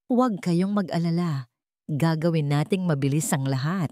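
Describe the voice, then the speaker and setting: A woman acts out a serious male lawyer in an audio drama. The voice is low and mellow, dry and close. The delivery is measured, smooth and reassuring. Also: no echo, natural voice. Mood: calm, persuasive. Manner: measured, calm explaining.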